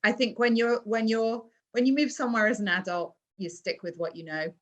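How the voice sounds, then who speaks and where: A woman's voice: on the high side, steady tone, brisk. A British woman hosting an online event over a video call. She reads audience questions aloud and chats back easily, laughing now and then. Her accent is from England. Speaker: interview host. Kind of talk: hosting a virtual interview, reading audience questions.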